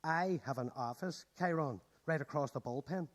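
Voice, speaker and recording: An actor playing an earnest, easily offended newcomer detective in a radio play. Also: male voice, a little high, moderate pace, natural voice. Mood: dry.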